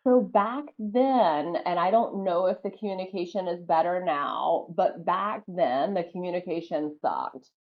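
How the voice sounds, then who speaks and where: A woman with a light Southern accent talks through her memories in a loose, rambling way, with plenty of "like" and "um". Her voice sits a little low for a woman. The podcast audio is dull and lo-fi. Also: unhurried, hardly any pauses. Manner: chatty, lots of "like" and "um". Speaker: podcast guest, former wrestler. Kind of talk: casual interview storytelling.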